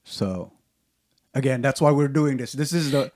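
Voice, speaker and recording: A man with an Indonesian accent hosting a casual podcast chat, talking in halting starts with many restarts. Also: mid-range voice, a little mellow, no echo, clean studio sound. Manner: halting, many restarts and fillers, laughs often. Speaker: podcast host.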